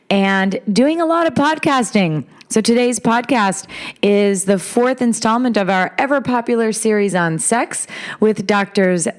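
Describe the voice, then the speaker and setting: A woman hosting a podcast in a casual, chatty way, her voice raspy and hoarse from talking too much. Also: mid-range voice. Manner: chatty, relaxed, rambling. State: hoarse, talked out. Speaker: podcast host.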